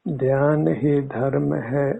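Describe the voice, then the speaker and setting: A man teaching guided meditation in measured, formal, instructive words. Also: medium pitch, fairly even intonation, vocal fry. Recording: muffled phone-like narrow-band audio.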